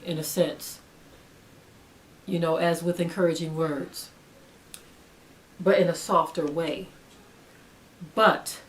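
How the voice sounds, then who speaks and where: A woman speaking as a religious teacher in a sermon style, earnest and emphatic, urging listeners with repeated phrases. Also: medium pitch. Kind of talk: sermon-like spoken exhortation.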